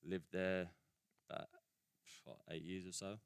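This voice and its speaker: Young bloke, english-aussie mix accent, really low smooth voice, easygoing and slangy, dry studio. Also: male voice, little pitch movement, a little slow, no echo. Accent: mixed English and Australian. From England. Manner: laid-back, slangy, trailing fillers. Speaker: football player guest. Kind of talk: casual radio chat. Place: radio studio.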